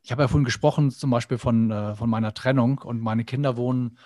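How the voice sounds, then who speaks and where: A man's voice: mid-range voice, fairly even intonation, fast, warm tone, no echo, studio quality. A middle-aged man guesting on a podcast, talking earnestly and with animation, often acting out little quoted inner voices. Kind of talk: reflective conversational interview.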